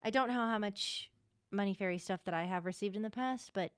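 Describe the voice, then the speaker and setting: A woman playing an earnest, hesitant fantasy townsperson in an improvised roleplay, speaking in halting, careful phrases. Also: mid-range voice, smooth, no echo, studio quality, lightly acted. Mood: conscientious. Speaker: roleplay player acting as a witness. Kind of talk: improvised in-character roleplay dialogue.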